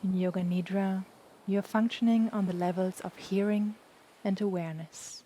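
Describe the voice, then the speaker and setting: A woman guiding a yoga nidra relaxation in a soft, soothing, unhurried voice with long pauses. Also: mid-range voice. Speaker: yoga nidra meditation guide. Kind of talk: guided relaxation meditation. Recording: steady background noise, ocean waves. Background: sound of the ocean.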